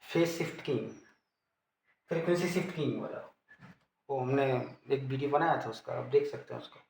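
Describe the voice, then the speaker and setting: A man's voice: medium pitch, brisk, creaky, darkish tone. A man with an Indian accent giving an informal technical lesson, explaining and repeating key terms. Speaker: teacher. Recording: echoey room, background noise.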